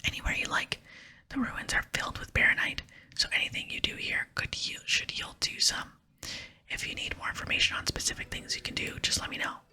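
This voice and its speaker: A woman voicing a solemn, wistful last dwarf smith in a breathy ASMR whisper for a game reading. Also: fairly quick, bright, forceful tone. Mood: helpful. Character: solemn lonely last dwarf smith, mournful but hopeful.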